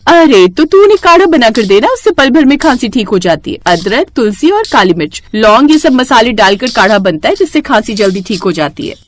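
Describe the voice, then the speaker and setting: A woman voicing a motherly housewife in a children's story, briskly giving advice. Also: a little high, very animated. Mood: helpful, reassuring. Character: helpful, motherly housewife giving home remedies.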